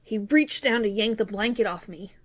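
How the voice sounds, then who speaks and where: A woman's voice: medium pitch, moderate pace, slight background noise, lightly acted. A woman playing a grown-up wistfully recalling childhood, narrating a scripted first-person story in an earnest, reflective way.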